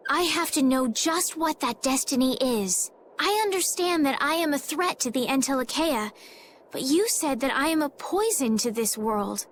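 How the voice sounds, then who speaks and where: An actress playing a gentle, determined young girl in a fantasy game, speaking in a formal, heartfelt, pleading way. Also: high-pitched, slight background noise. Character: gentle, earnest teenage girl healer, politely determined. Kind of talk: dubbed fantasy game voice acting.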